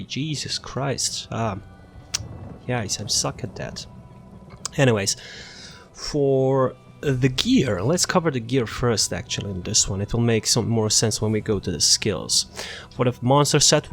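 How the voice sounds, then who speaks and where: A man presenting a casual video game build walkthrough in an offhand, rambling, self-deprecating way. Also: fairly low pitch.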